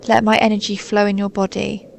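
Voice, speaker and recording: Woman as a gravelly-voiced, gentle ancient snow maiden. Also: mid-range voice, level tone, a little hiss or hum, lightly acted, rough recording. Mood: solemn, resolute. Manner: soothing, formal, measured, solemn. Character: centuries-old female snow maiden, gentle, stoic, melancholy guardian spirit. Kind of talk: scripted audio roleplay, intimate monologue to listener.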